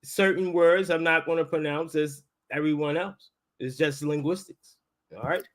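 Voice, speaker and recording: A man from Baltimore with a clearly high-pitched voice and the local accent. He hosts in a loose, colloquial style, explaining and pressing his point as he goes. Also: fairly even intonation, moderate pace, a bit bright. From Baltimore, United States. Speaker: host, linguistics teacher. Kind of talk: casual live talk with debate.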